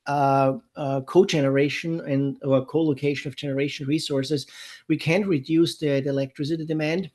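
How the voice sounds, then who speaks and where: A man's voice: a little high, steady tone, normal speed, a little mellow. A man from Europe with a German accent, giving an unscripted technical lecture in a conversational way, with many fillers and restarts. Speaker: webinar presenter. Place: San Diego, California.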